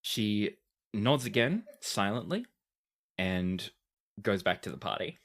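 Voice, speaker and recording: A game master narrating a tabletop fantasy game in a deliberate, descriptive storytelling manner. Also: sits low, sing-song.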